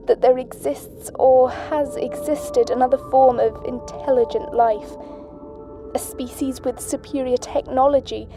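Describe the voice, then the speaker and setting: A woman playing a solemn astronaut, the sole survivor of a mission, speaking in a grave, measured way. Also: sits high. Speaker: astronaut Butler. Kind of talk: audio drama acting. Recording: music bed under voice.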